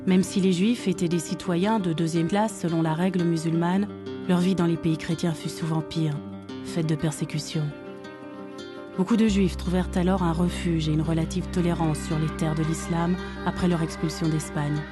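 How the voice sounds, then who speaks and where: A woman narrates a documentary in a measured, formal way with a lowish voice. Guitar music plays clearly beneath her. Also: fairly even intonation.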